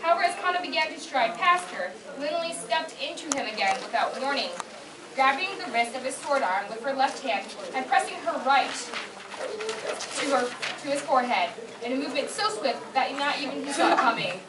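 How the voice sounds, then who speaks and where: Young female narrator, high voice, reading prose live at a panel, noisy distant recording. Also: animated, fairly quick. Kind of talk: scripted narration, fan fiction read aloud. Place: convention panel room. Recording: echoey noisy room, far from mic.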